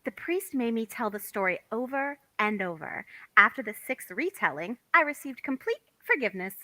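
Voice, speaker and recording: A woman's voice: on the high side, sing-song, normal speed, sharp tone, no room sound, rough recording. A woman playing a feisty, suspicious housewife in a comic play table read, arch and pointed in her emphasis. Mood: surprised. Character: feisty, suspicious young housewife, sharp-tongued.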